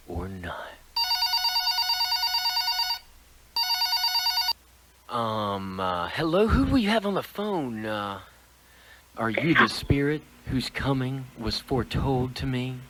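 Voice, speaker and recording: A man playing a shaken miser confronted with his past in a radio play, halting and theatrical in delivery. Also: medium pitch, lively intonation, heavy background noise. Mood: nervous, hesitant.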